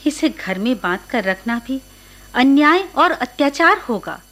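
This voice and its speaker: High-voiced, authoritative holy woman. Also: sing-song, measured pace, a bit bright, full character voice. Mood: earnest, persuasive. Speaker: radio actor. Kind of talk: radio drama dialogue.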